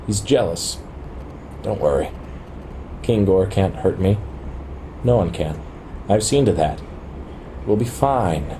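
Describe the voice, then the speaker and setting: A mellow radio-play hologram of a dead man, acted by a man, speaks with earnest devotion. He is lovelorn and insistent, taking his words slowly, over clear background noise. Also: low voice, expressive, low-quality recording. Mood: confident, reassuring. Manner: earnest, pleading.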